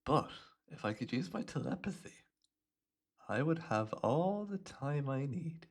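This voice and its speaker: Smug, animated supervillain played by a man. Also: on the high side, heavily acted. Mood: scheming. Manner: playful, smug, unhurried musing with little chuckles. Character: smug chatty imprisoned supervillain, a telepathic hypnotist. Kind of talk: ASMR roleplay monologue addressed to the listener.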